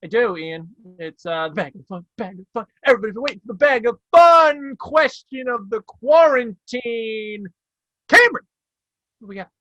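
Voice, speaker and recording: A young man co-hosting a casual sports podcast, excitable and quick to argue loudly in banter. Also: very high-pitched, dull audio. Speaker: podcast co-host.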